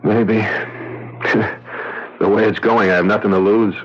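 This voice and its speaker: An actor playing a blunt, agitated husband, a driven and haunted talent agent, in old-time radio drama style. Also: male voice, lowish voice, level tone, a little slow. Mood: wary, resigned. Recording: dull vintage radio recording.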